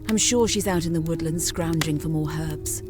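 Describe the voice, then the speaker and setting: Low-voiced, commanding rabbit elder played by a woman. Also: moderate pace, lightly acted. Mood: urgent, stern. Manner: commanding, formal. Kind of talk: character acting in a fantasy visual novel. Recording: music behind the voice.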